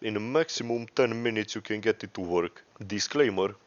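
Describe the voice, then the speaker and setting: A man with a Romanian accent giving a casual, unscripted software tutorial, rambling and hesitant, often correcting himself. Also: on the low side, moderate pace, no room sound. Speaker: tutorial host. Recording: dry, dull lo-fi microphone.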